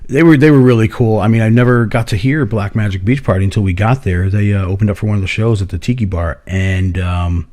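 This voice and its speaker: A hoarse man co-hosting a casual podcast, rambling through his stories with plenty of swearing and laughter. His voice sits on the low side, loose and chatty. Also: steady tone, quick pace, hardly any pauses, mellow. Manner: rambling storyteller, swears freely, laughs often. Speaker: podcast co-host. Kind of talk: casual chat.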